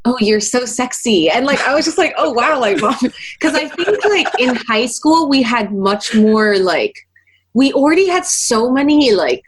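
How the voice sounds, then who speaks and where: A woman's voice: on the high side, big pitch swings, measured pace, husky. A young woman from Taiwan, a musician guest in her twenties, talking casually in an interview with many fillers and frequent laughs.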